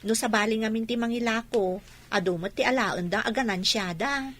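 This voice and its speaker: Female actor as young fish vendor, Ilocano accent, high voice, dutiful, village radio drama. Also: a little fast, a bit bright. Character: dutiful young fish-selling daughter, student.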